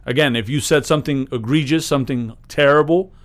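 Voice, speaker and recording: Guy cohosting a podcast, mid-pitched, kinda emphatic, cusses casually. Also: brisk. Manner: emphatic, agreeable, swearing. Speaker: podcast co-host. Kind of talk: casual profane chat.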